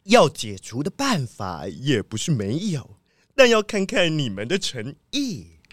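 A slow, theatrical mystic master, wildly swooping. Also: medium pitch, wildly swooping pitch, dry recording, clean studio sound. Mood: solemn, commanding. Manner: chanting, drawn-out, exclamatory proclamations. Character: theatrical self-styled master who claims to speak with plants. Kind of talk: children's audio drama acting. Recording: music bed under the voice. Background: loud music.

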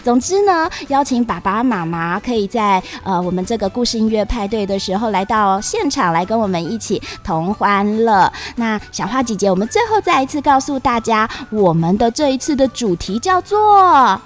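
Lively high-pitched woman storyteller. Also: faint music behind. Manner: sing-song children's-show delivery with drawn-out particles. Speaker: storyteller host. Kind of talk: cheerful event promotion for parents and children.

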